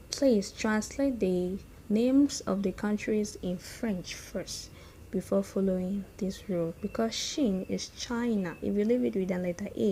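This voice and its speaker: A woman teaching a beginner French lesson, saying each phrase slowly and more than once and checking in with "okay?" and "hmm?". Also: medium pitch, warm tone, a little hiss or hum.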